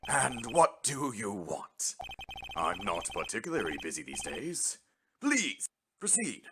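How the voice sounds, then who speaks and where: A man voicing a pompous, blustering older lawyer in a video game fan dub, theatrical and evasive, with hums and throat-clearing. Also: lowish voice, wildly swooping pitch, slow pace, background noise, heavily acted. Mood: pompous, booming.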